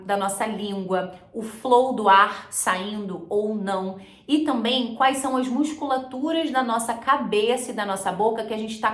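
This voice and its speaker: A woman's voice: fairly high pitch, a bit bright, echoey room. A Brazilian woman giving a conversational, explanatory language lesson, mixing English terms into her speech as she instructs. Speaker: English teacher and video host.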